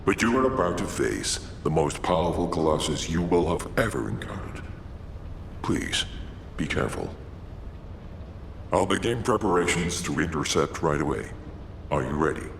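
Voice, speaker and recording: A man playing a grave, concerned mission commander in a video game, speaking in a measured, earnest, cautioning way. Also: sing-song, heavily acted. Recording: echoing, clear background rumble.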